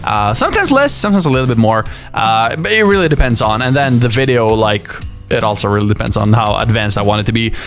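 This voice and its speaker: A man from Sweden reads out fan questions and answers them in a loose, chatty way, voice swinging widely up and down. He laughs easily and fills pauses with uh and like. A faint bed of music sits underneath. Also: medium pitch. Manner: lively, laughs often, fillers like uh and like. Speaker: YouTuber and musician. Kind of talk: casual Q&A, reading viewer questions.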